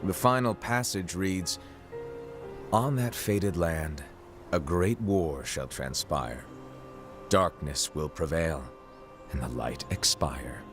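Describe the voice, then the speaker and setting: An actor playing a breezy, teasing, cryptic master of magical apprentices in an animated game cutscene. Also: male voice, takes it slowly, long pauses, music in the background. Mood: breezy, knowing, then grave recitation. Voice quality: low-pitched.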